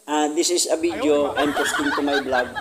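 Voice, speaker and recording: A man with a Filipino accent and Tagalog as first language, chatting casually as a vlogger, jovial and halting, often groping for English words. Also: fairly high pitch, steady tone. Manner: jovial, giggly, self-deprecating. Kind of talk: casual vlog chat, interviewing visitors. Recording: clearly noisy amateur vlog recording.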